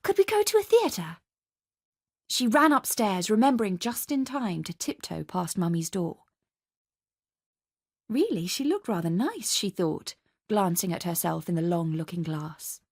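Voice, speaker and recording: A woman's voice: fairly low pitch, expressive, a little slow. A woman with a British accent, narrating a literary story for radio in a dramatic style. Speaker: story reader.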